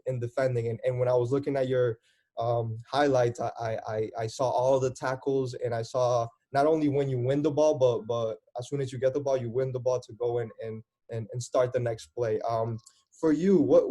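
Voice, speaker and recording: A man's voice: medium pitch, dark tone. A man speaking as a youth soccer coach and interviewer, in an encouraging, mentoring way, thinking aloud as he talks. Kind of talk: conversational interview in front of young players.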